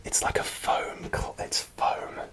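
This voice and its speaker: A man whispering an ASMR show-and-tell in a soft, unvoiced whisper, hesitant and full of small fillers, partly from inside a costume helmet. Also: takes it slowly. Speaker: ASMR creator.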